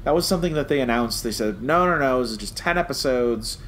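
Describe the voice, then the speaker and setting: A man's voice: medium pitch, lively intonation, fast, fairly bright tone. A man co-hosting a podcast, chatting casually and animatedly as a fan giving a review, acting out reactions as he goes. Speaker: podcast co-host. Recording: clear background noise.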